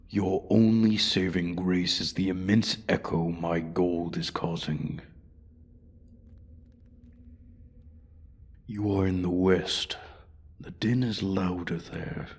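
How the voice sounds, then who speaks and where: Ancient dragon, played by a man, fantasy audio roleplay: very low, slow, breathy, haughty and quietly threatening. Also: heavily acted. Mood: predatory. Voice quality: husky, breathy, often dropping to a whisper. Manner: haughty, deliberate, coldly threatening. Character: ancient, menacing, arrogant male dragon guarding his hoard. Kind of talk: slow, theatrical fantasy ASMR roleplay monologue.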